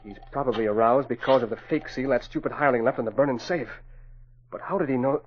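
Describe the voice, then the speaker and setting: An anxious, crooked American stockbroker played by a man in old radio melodrama. His medium-pitched voice is husky and agitated. The recording is dull and muffled. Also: fast talker, lightly acted. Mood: uneasy muttering. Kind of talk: 1940s radio melodrama acting.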